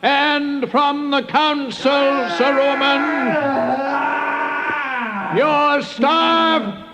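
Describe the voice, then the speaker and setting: An actor playing a commanding old wizard, stern yet kindly and theatrical, in a fantasy audio drama. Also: male voice, very high voice, fairly even intonation, drawn-out words, heavy background noise, exaggerated voice. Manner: theatrical, declamatory. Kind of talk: fantasy radio drama acting.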